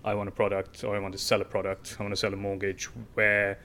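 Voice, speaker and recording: A man's voice: fairly low pitch, monotone, fast talker, pushed and bright. A man talking informally as an interview guest, explaining things with everyday examples. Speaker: guest, tech company founder.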